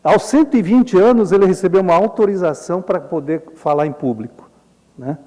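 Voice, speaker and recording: A middle-aged Brazilian man giving a relaxed symposium lecture, explaining slides in a chatty way and often adding tag questions. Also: high-pitched, fairly quick. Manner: conversational, frequent tag questions, chuckles often. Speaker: Jungian analyst lecturing at a symposium. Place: lecture hall. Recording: reverberant lecture hall.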